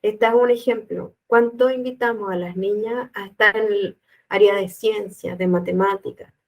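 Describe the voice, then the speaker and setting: A woman giving a calm, explanatory educational talk to teachers and addressing viewers directly. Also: medium pitch, normal speed, low-quality recording. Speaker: teacher trainer. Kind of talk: educational presentation.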